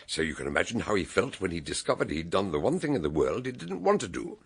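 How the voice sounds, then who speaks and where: An actor playing a shrewd, commanding older British gentleman detective in a radio drama, booming and declamatory. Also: very animated, quick pace, gravelly, breathy edge, full character voice. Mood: explaining, excited.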